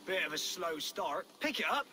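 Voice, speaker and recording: An actor playing a greedy, skittish medieval merchant with an exasperated, colloquial manner in a video game. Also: male voice, high voice, sing-song, moderate pace, sharp tone, a little hiss or hum, exaggerated voice. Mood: skeptical. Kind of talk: dramatic video game acting.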